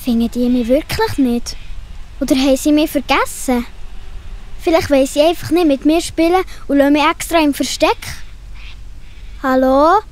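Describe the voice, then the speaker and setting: A woman's voice: high voice, moderate pace, long pauses, natural voice. A woman with a Swiss accent voicing a sensitive but plucky little girl in a children's audio play. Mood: puzzled, anxious.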